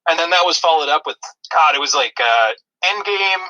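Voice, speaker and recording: Very bright, higher-voiced man chatting. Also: animated, normal speed, lo-fi sound. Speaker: podcast co-host. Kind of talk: casual chat about video-game movies.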